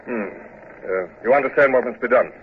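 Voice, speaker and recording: An actor playing a calm, commanding British naval commodore in a vintage radio drama, giving measured, dryly ironic orders and narrating. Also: male voice, medium pitch, natural voice. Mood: composed command. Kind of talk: 1950s radio drama acting. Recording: muffled noisy old radio recording.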